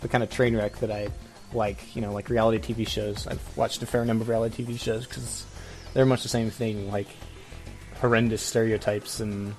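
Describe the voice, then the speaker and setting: A man's voice: lowish voice, flat delivery, a little fast, darkish tone, poor audio. Rambling man co-hosting, music behind. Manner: hesitant, rambling, peppered with "like". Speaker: podcast co-host. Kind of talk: casual opinionated chat.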